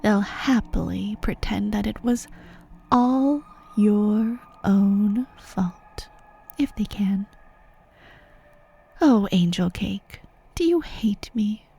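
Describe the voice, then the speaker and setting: Sadistic fantasy sorceress , female performer, ASMR roleplay: breathy, whisper-prone, unhurried, mock-sweet cooing over a gloating cruel streak. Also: mid-range voice, dark tone, full character voice. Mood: taunting, gloating. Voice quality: breathy, often dropping into whispers. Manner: mocking baby-talk cooing, drawn-out words, breathy asides. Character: sadistic, gleeful sorceress cooing over her captive pet. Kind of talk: ASMR roleplay monologue addressed to the listener.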